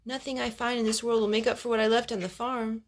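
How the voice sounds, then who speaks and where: A woman's voice: a little high, flat delivery, fast talker, slight background noise, lightly acted. A woman voicing a tiny, plucky young rooster in a storybook, speaking in an earnest, wistful way. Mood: pondering. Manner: earnest, plaintive, thinking aloud.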